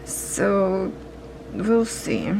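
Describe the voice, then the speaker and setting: Slow gravelly-voiced woman stitching and chatting. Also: medium pitch, big pitch swings, darkish tone, a little hiss or hum, low-quality recording. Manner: slow, musing, talks to viewers while working. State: losing her voice, coughing. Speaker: cross-stitch vlogger. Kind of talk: casual chat while stitching.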